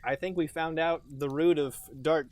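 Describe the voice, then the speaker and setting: A man's voice: a little high, steady tone, moderate pace, a little mellow. A man co-hosting a comedy podcast, chatting casually with dry, wry asides. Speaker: podcast co-host. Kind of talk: casual comic chat.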